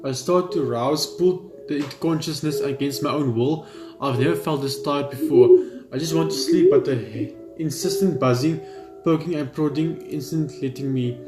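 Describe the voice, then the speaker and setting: A man's voice: fairly high pitch, moderate pace. Man in an echoey room reading game text. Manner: stumbles over words when reading aloud. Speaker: gaming video host. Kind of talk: let's play commentary, reading game text aloud. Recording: roomy echo, faint game music.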